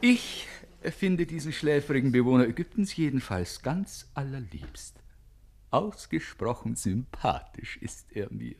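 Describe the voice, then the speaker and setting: An actor playing a jovial, generous gentleman and family father in a radio play, teasing and expansive. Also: medium pitch, big pitch swings, unhurried. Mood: delighted.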